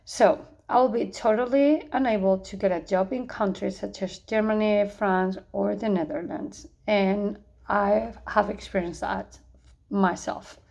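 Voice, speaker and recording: A woman's voice: medium pitch, steady tone, gravelly, a little mellow. Spanish-accented young woman reading an answer. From Spain. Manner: earnest, measured, with some hesitant restarts. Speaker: student. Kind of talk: reading a prepared answer to course questions.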